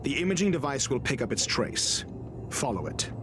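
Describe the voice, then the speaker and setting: A man voicing a self-assured, coolly commanding scholar in a video game, speaking in a measured, formal, deliberate way. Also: mid-range voice, wildly swooping pitch. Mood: instructing, alert. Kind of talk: scripted video game voice acting. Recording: loud game music behind.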